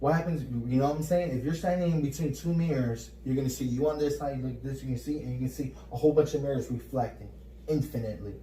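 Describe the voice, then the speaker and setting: A Puerto Rican man co-hosting a casual spiritual chat, energetic and repeating words as he gets going. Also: mid-range voice, fast talker, slight background noise. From Puerto Rico. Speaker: podcast co-host. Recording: roomy echo, mic at a distance.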